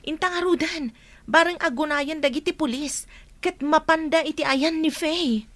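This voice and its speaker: High-voiced, determined young woman acted by a woman. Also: normal speed, fairly bright tone. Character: determined, loyal young woman helping a captive friend. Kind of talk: radio drama acting.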